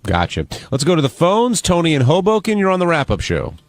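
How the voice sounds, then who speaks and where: A man's voice: fairly high pitch, huge pitch swings, fairly quick, slight background noise. A man hosting a radio talk show, moderating the discussion and searching for his words as he goes. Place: radio studio. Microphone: close studio microphone.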